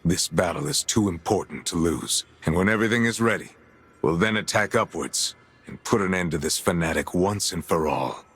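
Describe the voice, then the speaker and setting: An actor playing a grave veteran lord and military commander in a video game, speaking in a formal, measured way. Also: male voice, sits low, lively intonation, a bit bright, faint music behind. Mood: grave, resolute. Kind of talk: dramatic video game cutscene acting.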